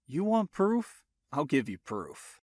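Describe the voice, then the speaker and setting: A man's voice: on the high side, very animated, slow pace, darkish tone, dry recording, studio quality. A man voicing an angry, protective young man who snarls his defiant lines.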